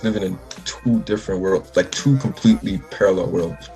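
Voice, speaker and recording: A man's voice: sits low, a little fast, mellow, faint music behind. A young man from the Bahamas, a musician talking casually in an interview, rambling with lots of restarts. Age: young adult. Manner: rambling, many likes and restarts. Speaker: musician guest.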